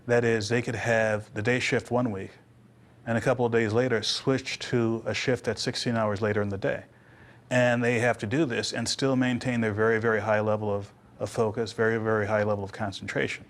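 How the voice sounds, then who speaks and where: A man's voice: lowish voice, little pitch movement, a little fast. A man giving explanatory interview commentary as an expert, stressing points by repeating words.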